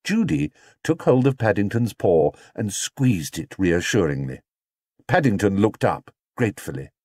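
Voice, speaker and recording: Male audiobook narrator, children's story, expressive, wide swooping pitch, dry close sound. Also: unhurried, no echo.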